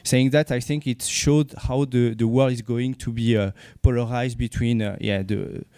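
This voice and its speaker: A man with a French accent presents at a conference, pausing often and restarting his sentences. His voice is smooth and resonant, and the sound is dry and close. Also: mid-range voice, fairly even intonation, dark tone, dry recording. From France. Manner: halting, many fillers and restarts. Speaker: conference speaker, company CEO. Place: conference venue.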